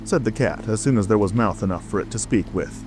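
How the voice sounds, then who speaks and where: A man's voice: fairly low pitch, brisk. A man narrating a storybook as a dramatic, scripted audiobook reading. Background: music.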